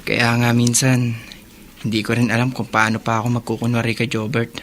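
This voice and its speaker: A man's voice: medium pitch, monotone, a little fast, a bit bright, a little hiss or hum, lightly acted. Subdued, troubled elder brother, played by a man. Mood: troubled resolve. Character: troubled, dutiful elder brother keeping a painful secret. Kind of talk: Filipino radio drama acting.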